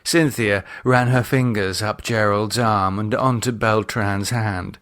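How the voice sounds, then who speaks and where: A man's voice: fairly low pitch, steady tone, slow pace, fairly bright tone. A man reading a novel as scripted narration. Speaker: author and audiobook narrator.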